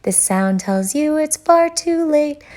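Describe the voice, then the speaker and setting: A woman voicing an eerie chanter who recites a foreboding rhyme in a breathy, creaky whisper for a horror audio play. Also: high voice, lively intonation, heavy background noise. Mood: menacing. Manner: slow, foreboding. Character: eerie female chanter of a creepy scarecrow rhyme.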